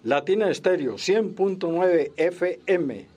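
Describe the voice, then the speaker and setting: A man hosting a radio interview with formal, deliberate phrasing. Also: sits high, animated, music in the background.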